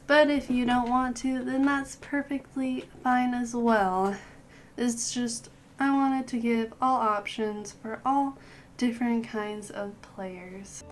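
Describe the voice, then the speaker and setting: Young female gaming host, hoarse with a cold, slow relaxed delivery, throat-clearing habit. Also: fairly high pitch. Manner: unhurried, clears throat often. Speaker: gaming youtuber. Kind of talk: casual game guide voice-over.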